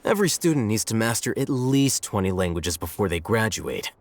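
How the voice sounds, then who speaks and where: An actor playing a composed, self-assured young scholar in a video game, speaking in a measured, dry, matter-of-fact way. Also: male voice, fairly low pitch, sing-song.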